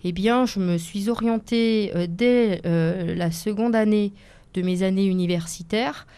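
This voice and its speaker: Hesitant woman in her forties, mellow voice. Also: mid-range voice, a little slow, clean tone, no room sound. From France, Seine-et-Marne. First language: Italian. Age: 40s. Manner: hesitant, many fillers and restarts, careful phrasing. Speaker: lawyer and author guest. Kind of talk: radio interview answers.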